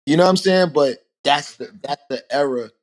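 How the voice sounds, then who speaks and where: A man, a rapper telling stories as a podcast guest in a relaxed, animated way. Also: fairly high pitch, moderate pace. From Harlem, New York. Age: 40s. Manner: animated storytelling, rhetorical asides. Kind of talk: casual podcast conversation.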